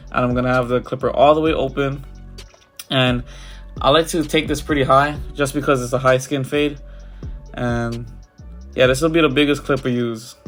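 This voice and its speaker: A barber with a congested, stuffy voice, talking casually over his haircut tutorial. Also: medium pitch. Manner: casual, loose, filler-heavy walkthrough. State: congested from a cold.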